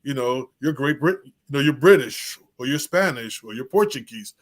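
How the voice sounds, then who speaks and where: A man speaks as a guest on a casual talk show in a mid-range voice. He is measured and a little hesitant, pausing on fillers and restarting as he shares research tips. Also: medium pitch, lively intonation. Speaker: genealogist guest. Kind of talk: casual conversation.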